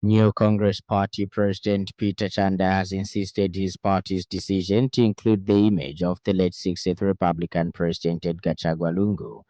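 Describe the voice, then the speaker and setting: A man with a Zambian accent reading a scripted radio news bulletin in a formal, measured announcer's delivery. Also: low voice, little pitch movement, mellow, no echo, dull audio. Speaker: radio newsreader. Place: radio studio.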